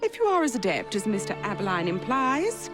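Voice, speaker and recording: An actress playing a gracious, teasing elderly queen in a video game cutscene, speaking in a formal, measured way. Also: medium pitch, huge pitch swings, music in the background. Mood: regal.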